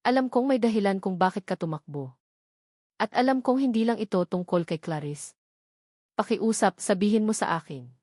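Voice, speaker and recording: A woman voicing a calm, protective young husband in an audio love story, firm and earnest. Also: mid-range voice, normal speed, dry recording, clean studio sound, natural voice. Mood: gentle, earnest.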